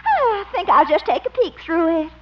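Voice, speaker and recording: A woman's voice: very high voice, big pitch swings. An actress playing a coy, flirtatious sweetheart in a 1950s radio comedy, cooing and drawing out her words. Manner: cooing, drawn-out, coquettish. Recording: muffled, very noisy old radio broadcast.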